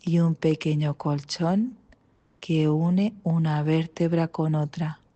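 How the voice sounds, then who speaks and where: A woman with an accent from Spain leading a guided meditation, calm and gentle, with long pauses between short instructions. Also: deep voice, muffled recording. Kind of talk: guided mindfulness body scan meditation.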